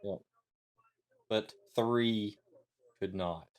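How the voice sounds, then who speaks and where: A man's voice: on the low side, very slow, darkish tone. A middle-aged man in casual conversation as a talk show co-host. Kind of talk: casual chat.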